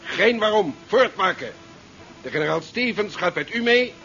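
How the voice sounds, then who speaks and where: An actor playing a brusque, by-the-book navy commander with clipped orders in a 1970s science-fiction radio play. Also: male voice, high voice, animated, normal speed, sharp tone, faint music behind, full character voice. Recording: dull 1970s radio recording.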